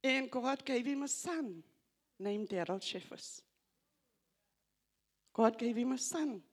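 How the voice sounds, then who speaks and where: An older woman with an Afrikaans accent, English not her first language, slowly reading scripture aloud and reflecting on it at a church gathering, halting and repeating phrases. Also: a little high, expressive, breathy edge. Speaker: church member giving a tribute.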